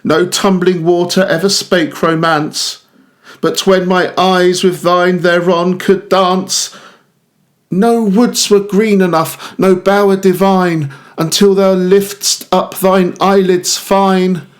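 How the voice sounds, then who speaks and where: A man's voice: high-pitched, fairly even intonation, slow pace. A man voicing a lovestruck young man who rapturously addresses the moon in declaimed verse. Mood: rapturous, ardent. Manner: ardent, declaimed. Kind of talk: poetic monologue in verse recital.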